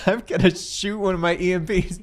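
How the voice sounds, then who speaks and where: A man's voice: sits high, fairly even intonation, moderate pace. A man playing a tabletop game, chatting casually and jokingly and often laughing. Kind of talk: casual banter.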